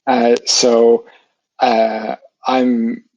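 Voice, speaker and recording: A middle-aged man from Denmark, explaining his scientific work as an interview guest in halting phrases with repeated words. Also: mid-range voice, little pitch movement, darkish tone, dry recording, lo-fi sound. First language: Danish.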